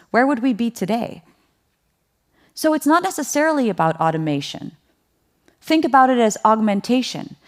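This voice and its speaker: A woman gives a keynote at a conference in a mid-pitched voice. She talks in a lively, conversational way and keeps turning to the audience with questions. Light laughs come between her points. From Netherlands. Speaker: keynote speaker.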